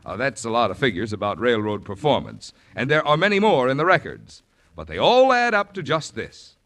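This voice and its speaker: A man, a radio announcer, reading a scripted sponsor announcement in a measured, formal way. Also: medium pitch, big pitch swings, fairly bright tone.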